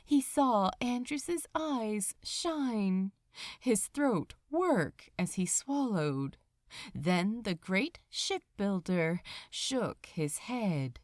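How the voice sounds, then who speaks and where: A woman reading a story aloud as narrator, in a measured and dramatic storytelling style. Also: mid-range voice, dry recording, studio quality. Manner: expressive, measured. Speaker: fan fiction narrator.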